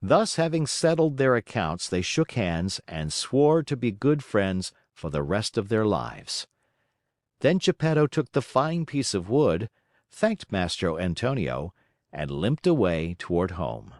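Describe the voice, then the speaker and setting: A man narrating a children's story in a measured, expressive, scripted reading. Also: fairly low pitch, no echo, studio quality.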